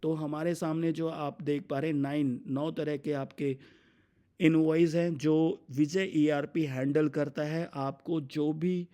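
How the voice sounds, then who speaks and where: An Indian man explaining software in an unscripted walkthrough, mixing English terms into his talk. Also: a little high, steady tone, measured pace. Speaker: software demonstrator for his company. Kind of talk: unscripted product demo walkthrough.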